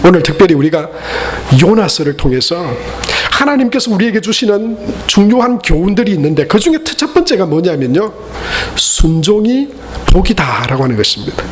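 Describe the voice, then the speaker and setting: A high-pitched male pastor preaches in a church sanctuary. He is earnest, yet he throws in playful asides to his congregation. A faint hiss of noise sits under his voice. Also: very animated, moderate pace. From Gyeongsang region, Korea. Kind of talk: Sunday sermon.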